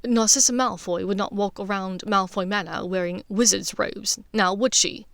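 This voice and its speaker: A woman playing an amused, haughty upper-class witch mother with a crisp, teasing, exclamatory delivery. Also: medium pitch, steady tone, normal speed, fairly bright tone, dry recording, studio quality. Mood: dryly teasing.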